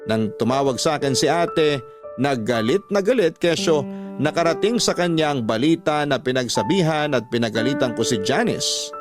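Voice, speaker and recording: A man's voice: on the high side, expressive, normal speed, over music. A man reading first-person scripted narration for a radio drama on a close studio mic. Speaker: story narrator.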